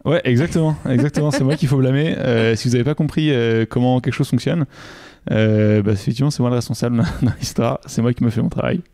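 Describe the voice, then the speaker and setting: A man's voice: medium pitch, fast talker, creaky, warm tone, no echo. A young man from Normandy, a UX designer, answering interview questions in a casual, hesitant way with many fillers and restarts. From Normandy, France. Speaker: UX designer guest.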